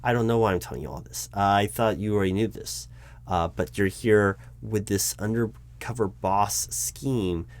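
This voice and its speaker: A man acting as a corporate recruiting manager with a fairly low voice. He is frank and exasperated, speaking in a conversational roleplay style. He hesitates, backs up to correct his wording, and keeps explaining his point. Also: normal speed, close to an everyday voice. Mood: earnest, exasperated. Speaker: roleplay audio performer. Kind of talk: one-sided conversational audio roleplay.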